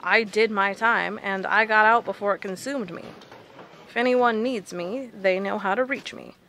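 Resolute researcher played by a woman, mid-pitched, sharp-edged, fed up and blunt, audio drama. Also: moderate pace, slight background noise. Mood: firm, defiant. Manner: blunt, firm.

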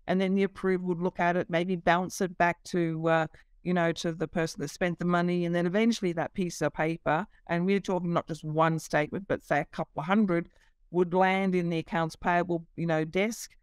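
A woman's voice: on the low side, fairly even intonation, a little fast, smooth, dry recording. A middle-aged woman, a company CEO, explaining her business over a video call, fluent but with many fillers. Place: office.

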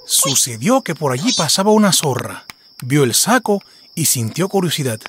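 A man's voice: fairly high pitch, very animated, normal speed, a bit bright, a little hiss or hum. A man narrating a scripted folk tale for children as a storyteller.

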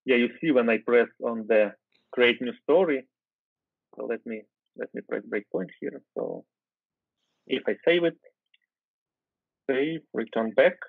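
A man's voice: mid-range voice, a little slow, gravelly, pauses often, husky, no echo. Ukrainian man on a tinny video call. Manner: relaxed, thinking aloud with fillers and restarts. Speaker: guest software developer. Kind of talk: casual screen-share coding chat.

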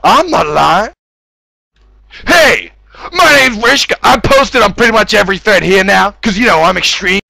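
A man's voice: high voice, lively intonation, normal speed, creaky, pushed and bright, no echo, studio quality. A man doing an exaggerated, profane, mocking read-aloud of game forum posts.

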